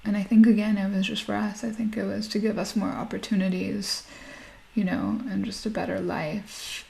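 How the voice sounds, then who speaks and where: A woman in her thirties who grew up in Nigeria chats through an interview, often laughing as she speaks. Her voice sits at an ordinary middle pitch with a gravelly, creaky texture. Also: flat delivery, moderate pace, a little mellow. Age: 30s. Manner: chatty, laughs often while talking. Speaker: guest. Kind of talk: casual interview conversation.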